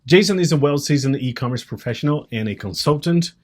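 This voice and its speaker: A man's voice: fairly high pitch, animated, fast. A man hosting a podcast, introducing himself and his guest politely, with some hesitant fillers. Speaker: podcast host.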